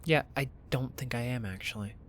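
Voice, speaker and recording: An actor playing a sarcastic, exasperated young man in an audio drama, incredulous and halting, with sweary outbursts. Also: medium pitch, slight background noise, close to an everyday voice. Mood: sullen. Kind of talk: naturalistic audio drama dialogue.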